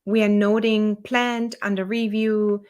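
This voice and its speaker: A woman with a German accent, the owner of a small software company, walking viewers through a software tutorial in a relaxed, chatty way with fillers. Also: mid-range voice, a little slow. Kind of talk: screen-share software tutorial.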